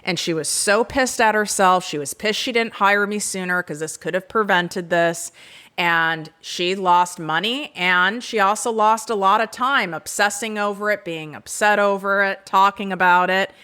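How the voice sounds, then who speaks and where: A woman hosting her own podcast, talking frankly and with self-deprecating humor in an energetic solo monologue. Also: mid-range voice, normal speed, smooth. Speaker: podcast host.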